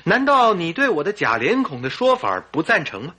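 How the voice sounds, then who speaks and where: A courteous doctor and detective's sidekick in a radio play. The voice is bright and a little husky, thoughtful yet animated in its inflection. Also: male voice, sits high, fairly quick, heavily acted. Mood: inquisitive. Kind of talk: radio drama acting.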